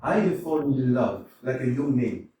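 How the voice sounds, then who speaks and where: An actor playing a hot-tempered middle-aged landowner in a staged comedy reading, swinging from mutters to loud outbursts. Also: male voice, mid-range voice, lively intonation, normal speed, highs cut off, slight background noise, natural voice. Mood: passionate. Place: community theater stage. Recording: echoey hall, mic from far off.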